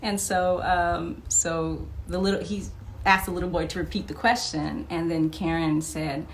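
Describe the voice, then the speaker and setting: A woman's voice: fairly low pitch, a little slow. Giggly woman telling anecdotes, noisy recording. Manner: giggly, animated when quoting people. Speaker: vlog host and memoir author. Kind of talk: casual anecdotal storytelling.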